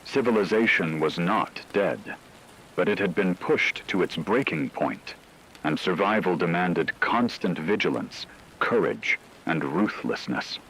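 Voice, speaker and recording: Deep-voiced solemn male narrator. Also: lively intonation, unhurried, fairly bright tone, a little hiss or hum, poor audio. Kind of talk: scripted apocalyptic story narration.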